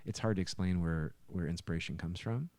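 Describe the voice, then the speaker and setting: Male musician co-host, deep voice, dry close sound, halting casual storytelling. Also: a little mellow, no room sound. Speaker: musician co-hosting a podcast. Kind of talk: casual podcast chat. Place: living room with soundproofing.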